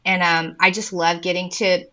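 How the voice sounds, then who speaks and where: A woman's voice: on the low side, level tone, normal speed, bright, forceful tone, highs cut off. A woman in her forties from the American Midwest, talking as a podcast guest in an enthusiastic way with many ums. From Indiana, US Midwest. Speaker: podcast guest, women's ministry leader. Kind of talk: casual interview chat.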